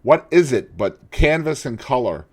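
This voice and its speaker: A man's voice: mid-range voice, very animated, unhurried, darkish tone, husky, pitched above the performer's own voice. A man doing an earnest devoted painter. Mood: resolute.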